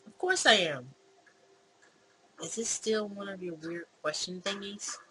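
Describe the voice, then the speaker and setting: A gamer voicing a defensive, hesitant young man in a visual novel, with stammering, halting protests. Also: mid-range voice, bright, forceful tone, poor audio. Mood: insistent. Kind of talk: visual novel character reading. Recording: clear background noise.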